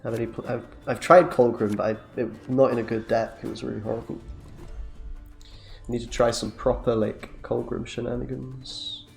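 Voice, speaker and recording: Chatty young man with gravelly voice. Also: medium pitch, level tone, normal speed, soft background music. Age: young adult. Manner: chatty, jokey, reacts aloud to plays. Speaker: streamer. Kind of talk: casual gameplay commentary. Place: small room indoors.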